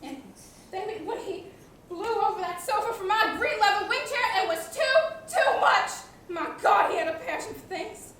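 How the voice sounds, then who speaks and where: Stage farce rector, female player: bright, anxious, apologetic, halting; roomy distant recording with slight noise. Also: extremely high pitch. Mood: distraught, overwrought. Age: young adult. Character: flustered, guilt-ridden male rector confessing, mournfully earnest. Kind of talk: comedic student stage acting. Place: theatre stage. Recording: echoey stage, distant mic, faint noise.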